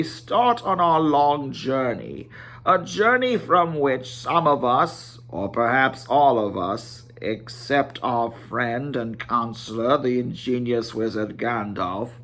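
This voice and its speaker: A man's voice: a little high, pushed and bright, full character voice. A man voicing a proud, solemn old dwarf leader who makes pompous, formal speeches in a storybook reading.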